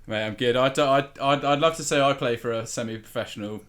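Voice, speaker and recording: A man with a mid-pitched voice talks casually as a podcast panellist. He tells stories in a wry, self-deprecating manner, as if among friends on a remote call. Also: brisk, fairly bright tone.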